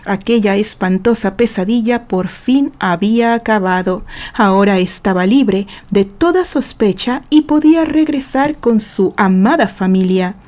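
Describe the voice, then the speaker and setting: A woman with a Mexican accent narrating a dramatic story in measured storytelling. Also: medium pitch, a little mellow. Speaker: author and narrator.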